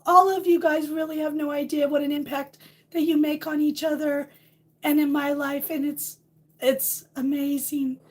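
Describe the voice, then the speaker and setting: A middle-aged woman with a high, hoarse voice hosts a casual livestream. She rambles warmly and greets her viewers by name as she chats. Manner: warm, rambling, reads out viewer names. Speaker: livestream host. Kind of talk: casual live chat with viewers.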